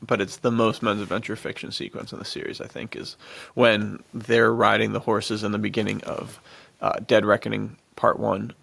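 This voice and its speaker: Male co-host, low-pitched with plenty of vocal fry, excitable, slangy and gushing casual chat. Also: moderate pace. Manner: gushing, slangy, laughs often. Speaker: podcast co-host. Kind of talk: casual enthusiastic chat about action films.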